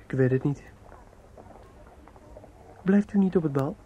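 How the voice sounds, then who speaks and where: A man's voice: a little high, measured pace, highs cut off. A male actor playing a polite, earnest young man and dutiful son in a radio play, hesitant and apologetic. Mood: troubled, polite. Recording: noisy old radio play recording.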